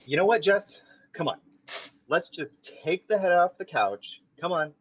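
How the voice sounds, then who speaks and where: A man's voice: high-pitched, lively intonation, unhurried, husky, lo-fi sound, full character voice. A man reading a vain, bossy young man in an amateur table read. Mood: coaxing. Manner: bossy, coaxing, self-important.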